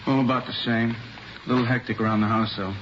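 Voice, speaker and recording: A man's voice: mid-range voice, little pitch movement, quick pace, close to an everyday voice. An actor playing a good-natured, grumbling middle-aged policeman in a 1950s radio drama, terse and folksy. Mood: chatty, grumbling. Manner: terse, folksy, drops words. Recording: muffled, slightly noisy old radio recording.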